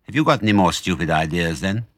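A man's voice: low voice, expressive, fast, dry recording, studio quality. A man voicing a tiny pot-bellied villain farmer in a storybook, grumpy, sneering and sarcastic. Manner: snappish, sarcastic.

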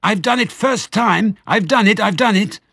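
A clever father fox from a children's storybook, played by a man, proud and commanding yet affectionate. The pitch swings widely as he exclaims to his children. The sound is dry and close. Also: big pitch swings, moderate pace, a bit bright, no echo, full character voice. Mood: jubilant, boastful.

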